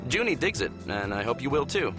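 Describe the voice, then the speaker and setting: A man's voice: medium pitch, big pitch swings, fairly quick, natural voice. An actor playing a friendly, easygoing human spaceship crewman in a visual novel game, casual and amiable. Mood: warm, casual. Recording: music clearly playing behind.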